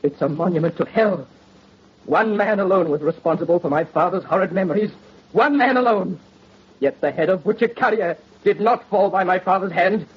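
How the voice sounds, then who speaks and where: An actor playing an anguished, gentle young nobleman in 1930s radio melodrama, with a theatrical, impassioned delivery and a husky voice. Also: high-pitched, moderate pace. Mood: tormented protest. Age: young adult. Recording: muffled old radio recording.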